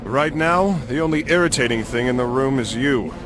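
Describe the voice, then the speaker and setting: An actor playing a righteous young knight in a video game, stern, earnest and declamatory, set on vengeance. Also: male voice, medium pitch, lively intonation, moderate pace, lightly acted. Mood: wry resolve. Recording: dramatic music behind the voice.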